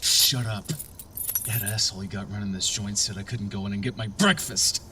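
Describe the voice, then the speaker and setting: A man's voice: lowish voice, fairly even intonation, normal speed, heavy background noise, close to an everyday voice. An actor playing a bullying, volatile gunman in a horror audio drama, sneering and taunting. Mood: menacing, angry. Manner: taunting, swinging from growl to shout.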